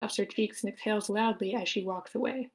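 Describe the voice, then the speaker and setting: A woman's voice: mid-range voice, steady tone, brisk. A woman reading out scripted stage directions as the narrator of a screenplay table read.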